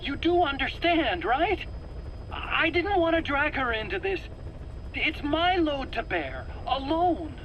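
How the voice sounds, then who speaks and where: A man's voice: very high-pitched, very animated, measured pace, highs cut off, full character voice. An actor playing a nervous, defensive male scientist under interrogation in a game cutscene, stammering and pleading in a whiny manner. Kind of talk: video game cutscene acting. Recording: faint background noise.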